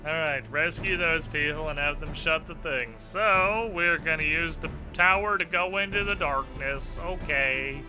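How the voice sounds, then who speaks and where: A man's voice: on the high side, a little slow, hardly any pauses, poor audio. A man doing casual gaming commentary in a sarcastic, mock-dramatic and profane way. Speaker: game streamer. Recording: music playing behind. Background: game music.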